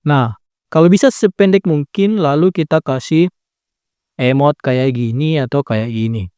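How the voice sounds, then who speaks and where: A man's voice: fairly high pitch, a little slow, mellow, smooth, no echo. A young man giving a casual, chatty step-by-step editing tutorial, laid-back with lots of filler tags. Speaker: tutorial creator. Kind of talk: casual screen-recording tutorial.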